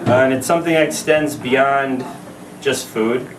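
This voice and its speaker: A man in his thirties giving a casual speech to his new wife at a party, halting with many fillers and laughing asides. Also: mid-range voice. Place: party venue with crowd. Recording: echoey room, mic at a distance, background noise.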